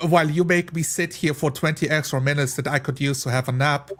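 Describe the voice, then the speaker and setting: A man with a fairly high voice talks casually among fellow tabletop players. He explains his reasoning step by step, often speaking hypothetical thoughts aloud as examples. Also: steady tone, a little fast, a bit bright. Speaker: tabletop role-playing player. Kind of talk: casual chat.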